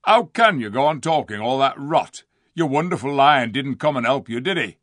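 A male reader plays a rude, scornful dwarf from a storybook. He grumbles and blusters in gruff colloquial speech, with swooping, lively pitch and a close, dry sound. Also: normal speed, dry recording. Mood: angry, defiant. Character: surly, defiant, suspicious dwarf, scornful and rude. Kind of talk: dramatised storybook reading.

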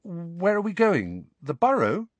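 A man's voice: medium pitch, huge pitch swings, moderate pace, breathy edge, dry recording, pitched above the performer's own voice. A man voicing a nervous teenage boy wizard who talks hesitantly in an audiobook. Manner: hesitant, mumbling. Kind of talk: audiobook character voice.

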